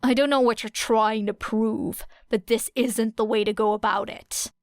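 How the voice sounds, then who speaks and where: A woman voicing a fierce, blunt teenage girl with a sharp, growling delivery in an audiobook. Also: fairly high pitch, fairly even intonation, unhurried, no echo, clean studio sound, natural voice. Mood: angry, sharp.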